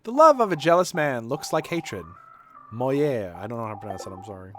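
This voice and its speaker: A man's voice: mid-range voice, very animated, brisk, music in the background. A man doing casual, rambling game stream commentary, giving opinions informally and sometimes reading lines aloud.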